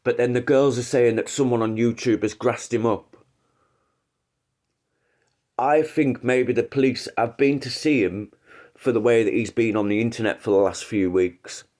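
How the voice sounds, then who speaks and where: A man's voice: mid-range voice, fairly even intonation, moderate pace, a little mellow. A man with a head cold, hosting a casual livestream and rambling through his opinions with frequent restarts. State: congested with a cold. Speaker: livestream host.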